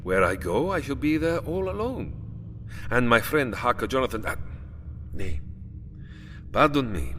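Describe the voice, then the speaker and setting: A man's voice: medium pitch, big pitch swings, unhurried, pauses often, fairly bright tone, a little hiss or hum. An actor playing an old aristocratic male vampire, courtly and menacing, with formal, grandiose phrasing in an audio drama. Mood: courteous, insistent. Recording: long hall reverb, music underneath. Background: music.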